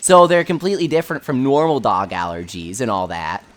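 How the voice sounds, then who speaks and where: A man hosting a game livestream with a high voice, answering viewer questions in a chatty, offhand way full of fillers. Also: fairly even intonation, fairly quick, a bit bright. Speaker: livestream host. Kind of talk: casual livestream chat, reading viewer questions.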